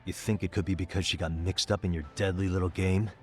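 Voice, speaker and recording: A calm, determined detective voiced by a man questions a prisoner with steady, pressing insistence. His voice sits deep and low, and a faint music bed runs under the game scene. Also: quick pace, natural voice. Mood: stern. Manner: pressing, steady interrogating delivery. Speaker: detective in cutscene. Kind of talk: game voice acting, dub.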